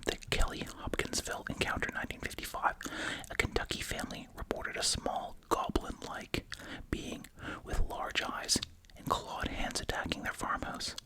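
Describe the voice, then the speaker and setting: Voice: moderate pace, low-quality recording. An ASMR host whispering close to the mic while chewing gum, reading aloud with casual, musing asides. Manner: informal, musing asides in a hushed voice. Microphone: close ASMR microphone.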